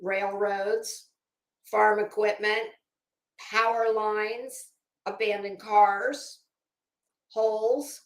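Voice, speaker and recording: A woman's voice: mid-range voice, steady tone, extremely slow, pauses often. A woman livestreamer talking casually and reading aloud, with a blunt, sardonic, salty-tongued manner. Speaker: livestream host. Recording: echoey room.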